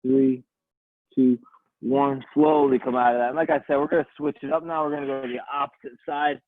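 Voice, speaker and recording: Calm male coach on tinny audio. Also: medium pitch, fairly quick, dry recording. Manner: calm coaching, counting down holds; soothing voice for stretching. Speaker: fitness coach. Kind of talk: guided stretching class. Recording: tinny, dry phone-like audio.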